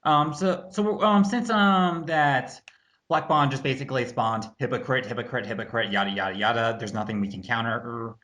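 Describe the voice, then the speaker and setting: A man's voice: medium pitch, moderate pace, bright, forceful tone. A man chatting casually as a co-host, agreeable and somewhat hesitant in the way he talks. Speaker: online commentary co-host. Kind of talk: casual chat.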